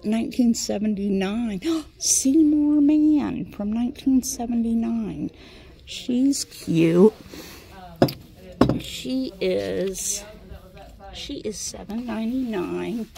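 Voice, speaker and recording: Slow gravelly woman whispering in a thrift store. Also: fairly high pitch, mellow, a little hiss or hum. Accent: Midwestern American. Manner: chatty, often drops to a whisper. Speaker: vlogger. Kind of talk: casual shop-with-me chat. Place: large indoor thrift store. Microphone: handheld phone camera.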